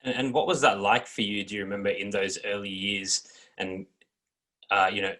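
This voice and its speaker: A man's voice: fairly low pitch, flat delivery, moderate pace, a bit bright. An Australian man co-hosting a podcast, asking the guest long, rambling, curious questions. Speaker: podcast co-host. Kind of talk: casual interview questions.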